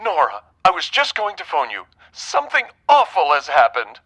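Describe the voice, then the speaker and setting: Man playing an anxious bridegroom, bright phone voice. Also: fairly high pitch, wildly swooping pitch, normal speed, exaggerated voice. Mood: distraught, urgent. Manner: earnest, urgent melodramatic delivery. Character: anxious, distraught rich young bridegroom. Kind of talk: period radio-drama acting.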